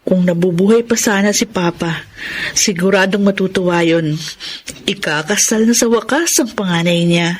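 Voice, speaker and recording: A woman playing an affectionate thirty-year-old bride-to-be in a radio drama, soft-hearted and teasing. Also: medium pitch, moderate pace, close to an everyday voice. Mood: happy, wistful.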